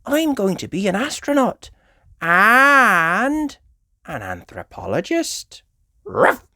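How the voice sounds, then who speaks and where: A woman voicing an excited, boastful little animal child in a children's storybook, exclaiming and drawing out words. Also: mid-range voice, slow pace, no room sound, studio quality, voice pushed up, heavily acted. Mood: proud. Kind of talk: children's storybook character voices.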